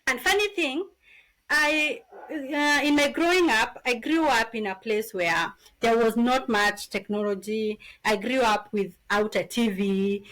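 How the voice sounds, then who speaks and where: A woman in her thirties from Kenya with a Kenyan accent, a podcast guest telling her story in an animated, casual way. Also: fairly high pitch, rough recording. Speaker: podcast guest, business founder.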